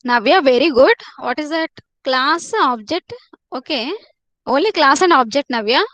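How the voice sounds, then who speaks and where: A woman teacher leads a live online class, her voice sitting high. She repeats herself often and coaxes students to answer. The sound is dry and close, picked up by a computer mic. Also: normal speed, fairly bright tone, no echo, muffled recording. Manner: repetitive, coaxing students to answer.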